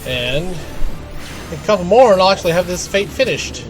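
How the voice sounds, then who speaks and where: A man's voice: high voice, animated, moderate pace. A man giving casual live commentary as he plays a game, easygoing, thinking aloud in fragments and reading out on-screen text. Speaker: game streamer playing and commenting. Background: video game music.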